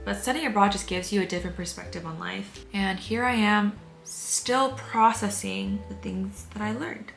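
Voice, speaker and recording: A woman's voice: mid-range voice, normal speed, gravelly, few pauses, fairly bright tone, soft background music. Reflective young woman, echoey room. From United States. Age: 20s. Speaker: beauty vlogger. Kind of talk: get-ready-with-me voice-over.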